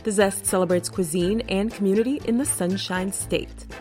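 A woman hosting a podcast, reading a scripted introduction in a measured way. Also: mid-range voice, fairly even intonation, dark tone. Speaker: podcast host. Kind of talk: scripted narration. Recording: faint music underneath.

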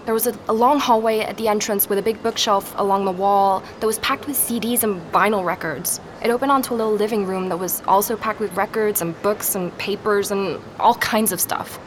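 An actress playing an earnest, rattled young woman investigator in a naturalistic audio drama, speaking haltingly as she records her search. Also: mid-range voice, steady tone, lightly acted. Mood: troubled, explaining. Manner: hesitant, halting, with repeated words. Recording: street and vehicle noise behind.

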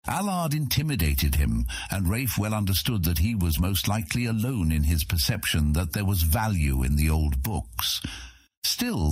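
Deep, husky, expressive male storyteller. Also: no echo. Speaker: audiobook narrator. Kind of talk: dramatic scripted fantasy narration.

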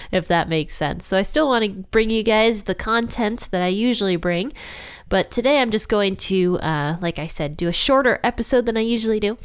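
A woman's voice: medium pitch, smooth. A woman with a head cold, sounding congested, hosting a casual Bible devotional podcast and chatting directly to her listeners. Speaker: podcast host.

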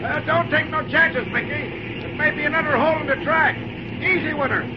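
A man plays an excitable train crewman in an old radio serial. The voice is very high and folksy, tossing out homespun exclamations. It sits in loud rumbling noise on a muffled recording. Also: normal speed, crowd noise. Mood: excited. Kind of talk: old-time radio drama acting.

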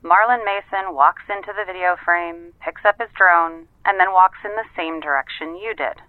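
A woman's voice: fairly low pitch, normal speed, no room sound. A woman playing a wry, efficient detective's assistant, clipped and matter-of-fact, heard through a telephone filter in an audio drama. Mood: exasperated, sardonic. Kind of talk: naturalistic audio drama dialogue. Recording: tinny, narrow phone line.

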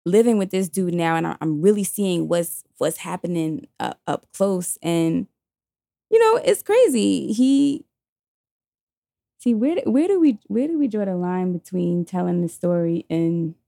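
A woman's voice: lowish voice, lively intonation, measured pace, creaky, warm tone, dry recording, studio quality. A woman, telling a personal story bluntly and emphatically in slangy casual chat. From Queens, New York.

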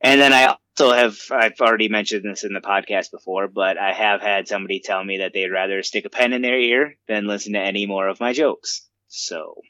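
A man's voice: fairly low pitch, steady tone, fairly quick, hardly any pauses, pushed and bright, no echo, dull audio. A man co-hosting a comedy podcast, chatting casually and self-deprecatingly with many fillers. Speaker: podcast co-host. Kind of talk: casual chat.

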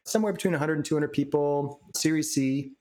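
A man's voice: fairly high pitch, a little fast, warm tone. Eager male podcast host. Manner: eager, friendly questioning. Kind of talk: conversational interview.

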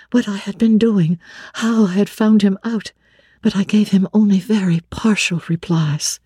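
A woman narrating classic literature in the first person, with measured, expressive, dramatic phrasing. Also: medium pitch, breathy edge, no room sound, studio quality.

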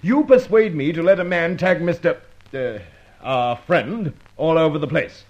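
A man's voice: a little high, measured pace, a little mellow, close to an everyday voice. A man playing a blustering, scolding police superintendent in an old radio drama, sarcastic and brusque. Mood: exasperated. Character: blustering, irate Scotland Yard police superintendent. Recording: dull old radio recording.

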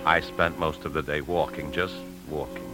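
An American actor playing a weary, guilt-ridden husband and bank man, narrating gravely in a 1950s radio drama. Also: rumbling low, steady tone, moderate pace. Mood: grave, confessional. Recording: 1950s radio broadcast with music.

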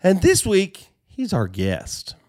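A man hosting a podcast in a casual, joking, rambling way, his voice a little hoarse. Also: high voice, huge pitch swings, slow. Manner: joking, rambling, self-mocking. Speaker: podcast host.

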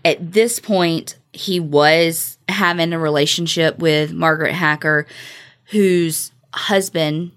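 A woman's voice: deep voice, fairly even intonation, slow pace, fairly bright tone. An American woman co-hosting a podcast, telling a story in a casual, conversational way with informal, joking asides. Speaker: true-crime podcast co-host.

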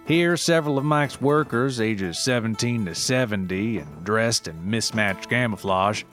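A man reading scripted mock-documentary narration as a storyteller, measured and deadpan. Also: on the low side. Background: music with plucked guitar.

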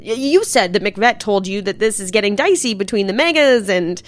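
An actress playing a blunt, skeptical technical expert in a naturalistic audio drama. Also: female voice, mid-range voice, animated, normal speed, slight background noise, natural voice. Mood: hesitant.

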